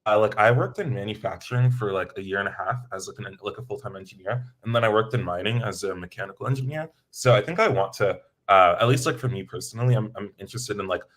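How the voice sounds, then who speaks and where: A young American man, a student and engineer, answering interview questions in a relaxed way, full of filler words. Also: lowish voice, fast talker, hardly any pauses. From United States. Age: young adult. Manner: relaxed, many filler likes.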